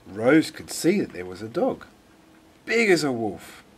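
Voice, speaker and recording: A man reading a children's story aloud in a dramatic, deliberate way. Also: mid-range voice, wildly swooping pitch, breathy edge. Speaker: storybook reader. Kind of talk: expressive scripted children's story narration.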